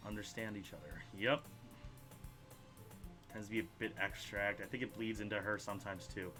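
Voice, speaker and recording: A man hosting a video game playthrough, reading dialogue aloud in an animated way, stumbling over words and adding his own side comments. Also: fairly low pitch, moderate pace, faint music behind. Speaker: let's play host.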